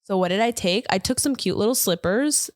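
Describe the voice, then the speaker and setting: A woman co-hosting a casual couple's podcast, telling stories in an animated way and laughing often. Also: mid-range voice, fairly quick, no room sound, studio quality. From United States. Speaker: podcast co-host. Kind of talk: casual chat.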